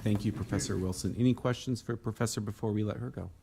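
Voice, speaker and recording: A man's voice: on the low side, steady tone, quick pace, creaky, noisy background. A man chairing a council meeting, brief and courteous, heard from a distant microphone. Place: council chamber.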